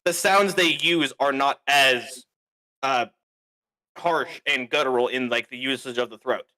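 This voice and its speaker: Bright-voiced young man explaining. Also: mid-range voice, a little slow. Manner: explanatory, fumbles for words. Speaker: streamer. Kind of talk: casual chat.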